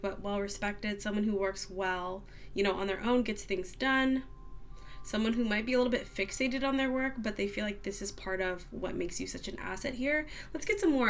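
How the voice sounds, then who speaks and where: A woman reading tarot cards in a casual, upbeat monologue, a little scratchy as she gets over a cold, with many soft "um"s. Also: mid-range voice. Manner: soft, upbeat, lots of "um" and "kind of". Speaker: tarot card reader.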